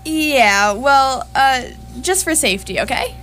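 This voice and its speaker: A woman's voice: high voice, lively intonation, slow, fairly bright tone, slight background noise, heavily acted. A woman playing a brash, impatient and flippant space adventurer in charge, curt and casual, in live radio theatre.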